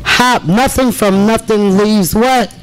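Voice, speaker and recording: A trustee speaking out to residents at a village board meeting, deliberate and emphatic, repeating phrases for effect. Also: female voice, medium pitch, smooth, low-quality recording. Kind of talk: impassioned public meeting speech.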